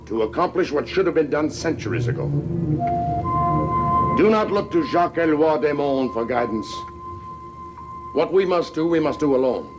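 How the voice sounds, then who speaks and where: An actor playing an imperious older count in a gothic soap, with a formal, stately, haughty delivery. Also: male voice, fairly high pitch, lively intonation, normal speed, pauses often, muffled recording, close to an everyday voice. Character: imperious old count, commanding and secretive. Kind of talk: 1960s-70s gothic TV soap acting. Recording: old TV soundtrack with music underneath.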